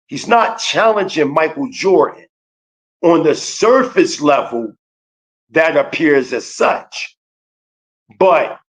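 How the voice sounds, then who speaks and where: Man, slightly high voice, emphatic preaching-style lecturer on a livestream. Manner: emphatic, slow, repeats key phrases. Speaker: guest teacher.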